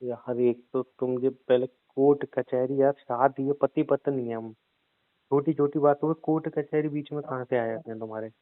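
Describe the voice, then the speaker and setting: A man's voice: medium pitch, fairly even intonation, moderate pace, dry recording, lightly acted. A man playing an evasive, bossy husband, cajoling and repeating himself in a naturalistic audio drama argument. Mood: exasperated, cajoling. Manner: cajoling, repetitive, stubborn. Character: evasive, bossy husband stubbornly putting off his wife. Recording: tinny, dry phone-call sound.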